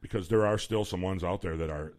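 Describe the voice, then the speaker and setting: A man's voice: brisk, darkish tone. A man, hosting a radio show in loose, joking, rambling banter. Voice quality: baritone. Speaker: radio host.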